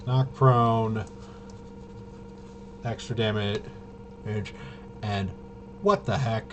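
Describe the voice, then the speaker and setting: Slow-talking man with a lowish voice, muttering. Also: fairly even intonation, fairly bright tone, slight background noise. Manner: halting, muttering to himself as he works things out. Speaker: tabletop RPG player and presenter. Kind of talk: casual solo gameplay commentary, thinking aloud.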